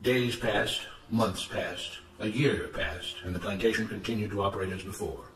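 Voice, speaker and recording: A man's voice: lowish voice, normal speed, a bit bright, reverberant, far from the mic, a little hiss or hum, low-quality recording. A man reading a scripted story aloud as its narrator.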